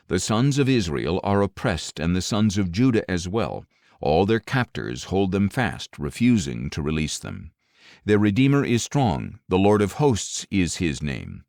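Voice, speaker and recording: A man with a low, deep voice reads scripture as an audiobook narrator. His delivery is solemn and dramatic, with wide swings in pitch and measured, emphatic phrases that drop at their close. The recording is dry and close, without room sound. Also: a little mellow, dry recording.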